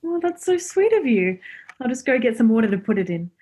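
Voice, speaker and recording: A woman playing a teasing, high-voiced girlfriend. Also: big pitch swings, warm tone, husky. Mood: fond. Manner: teasing, brisk, blunt. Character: teasing, blunt young woman, devoted girlfriend and sister. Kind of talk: radio play acting, naturalistic.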